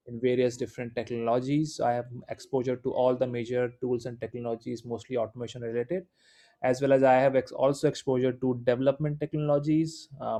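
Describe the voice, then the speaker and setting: A man's voice: medium pitch, warm tone. A man with an Indian accent, native Hindi speaker, giving a live class in an informal, encouraging way with many fillers. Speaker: instructor. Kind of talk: live online class lecture.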